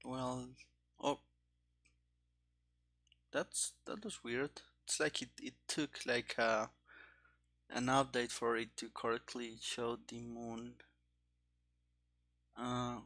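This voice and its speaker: A man with a bright, forward voice talks slowly through his programming work. He thinks aloud in short, halting phrases, like a casual coding stream. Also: mid-range voice, flat delivery. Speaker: programmer streaming game development. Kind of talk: casual live coding commentary.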